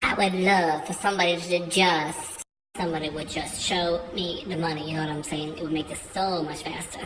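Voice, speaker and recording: A woman's voice: on the low side, fairly even intonation, fairly quick, echoey room, big-room sound, background noise, lightly acted. A woman voicing a sassy, flirty, greedy witch of the woods in a comic fairy tale, with a slangy drawl and humming asides. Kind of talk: comic improvised storytelling.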